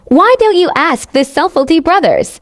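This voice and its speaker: A woman's voice: extremely high pitch, animated, measured pace. A woman giving playful, teasing commentary and reactions.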